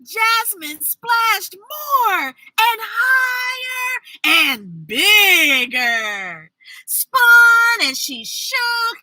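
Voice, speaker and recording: Female kids' storytime host: very bright, forceful voice, unhurried pacing, playful and exuberant delivery. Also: very high voice, low-quality recording. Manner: exuberant, playful, sound effects and drawn-out words. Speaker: children's storybook reader and host. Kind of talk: animated read-aloud for children with chatty asides.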